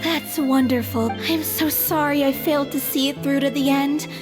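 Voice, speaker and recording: An actress voicing a frail young performer who talks softly and wearily over game music. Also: female voice, high voice, steady tone, moderate pace, natural voice. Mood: weary. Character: sickly young actress, self-deprecating. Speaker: game character. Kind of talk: game voice acting.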